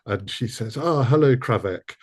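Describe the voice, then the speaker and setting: A man's voice: medium pitch, animated, normal speed, husky. A man interviewing in a casual conversation, rambling and hesitant as he forms his questions. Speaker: interviewer and audio drama producer.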